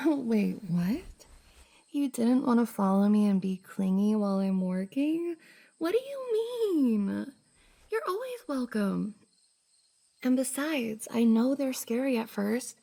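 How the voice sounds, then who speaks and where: Woman playing a young female cosplayer, high breathy voice, slow pace, shy, affectionate, giggly, intimate ASMR roleplay. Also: sing-song, full character voice. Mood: playful, happy, then warm, enthusiastic. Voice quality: soft, breathy, slightly husky. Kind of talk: F4M roleplay ASMR, intimate one-sided conversation.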